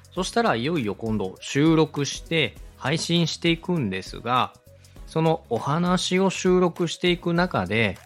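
A man hosting an instructional podcast, explaining things politely and step by step to a co-host. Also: a little high. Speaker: host, radio director teaching podcast production.

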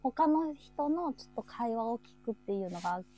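Mellow-voiced woman chatting casually. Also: on the high side, lively intonation, moderate pace, faint music behind. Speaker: host of a live chat show. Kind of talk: casual chat.

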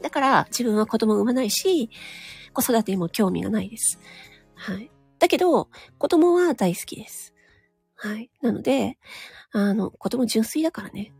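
A woman with a higher, breathy voice that swings up and down lively as she talks. She speaks alone in a frank, chatty monologue, full of little nodding asides, while faint music plays behind her. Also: moderate pace, dry recording. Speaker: solo audio broadcaster. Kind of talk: casual solo monologue.

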